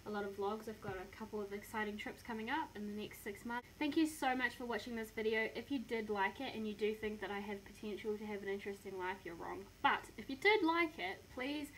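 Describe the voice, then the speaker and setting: A woman's voice: medium pitch, brisk, few pauses, a bit bright, slight background noise, low-quality recording. A woman in her twenties with a New Zealand accent, chatting casually to a phone camera in her bedroom, self-mocking and upbeat. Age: 20s. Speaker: vlogger. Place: small bedroom, indoors. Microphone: phone camera close to the face.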